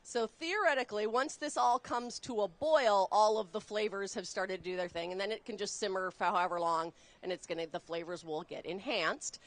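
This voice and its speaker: A woman chef raised in Texas, explaining a recipe step by step while cooking on a live show, chatty and quick to laugh. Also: medium pitch, fairly bright tone. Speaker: guest chef. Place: studio kitchen.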